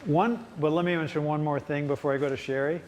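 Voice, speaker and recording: A man's voice: fairly high pitch, fairly even intonation, fast talker, darkish tone. A man answering residents' questions at a public town hall meeting, explaining at length with frequent fillers and restarts. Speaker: city official at a ward town hall.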